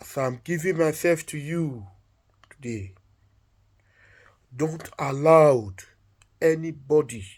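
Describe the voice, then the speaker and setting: Storybook royal wife, self-sacrificing, low-key and grave, measured delivery, played by a male storyteller. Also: slightly rough. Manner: soft, solemn, deliberate. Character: devoted self-sacrificing queen, calm, resolute wife.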